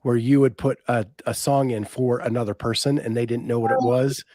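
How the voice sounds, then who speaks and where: Male podcast host, mid-pitched, dry close recording, easygoing interviewer. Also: steady tone, a little fast, warm tone, no echo. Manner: easygoing, asks questions, reads listener comments.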